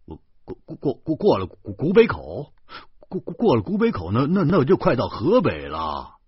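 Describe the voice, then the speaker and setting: Man acting a blustering fat driver, wildly animated. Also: medium pitch, moderate pace, breathy edge, no echo, highs cut off, pitched above the performer's own voice, heavily acted. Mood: stubborn, flustered. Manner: stammering, argumentative bluster. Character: fat blustering male driver, boastful and stubborn. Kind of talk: acted audiobook dialogue.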